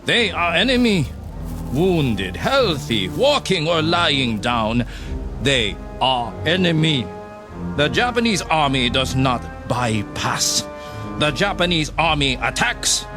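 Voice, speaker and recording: An actor playing a ruthless, contemptuous Japanese army captain in a radio drama, commanding and cold, with deliberate, emphatic phrasing. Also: male voice, a little high, very animated. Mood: cold, lecturing. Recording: music under the voice.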